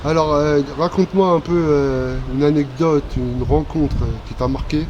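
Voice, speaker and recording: A man interviewing a rapper, asking halting casual questions and backing him up with short replies, outdoors in a city. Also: on the high side, fairly even intonation. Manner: brief backchannels, halting questions. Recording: dull mic, street traffic noise.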